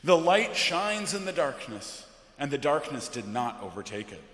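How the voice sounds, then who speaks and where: A man's voice: fairly high pitch, big pitch swings, fairly bright tone. Male pastor in an echoey church. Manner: solemn, deliberate, measured. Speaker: Lutheran pastor. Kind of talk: liturgical scripture reading. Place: large church interior, from the pulpit. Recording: echoey church with long reverb.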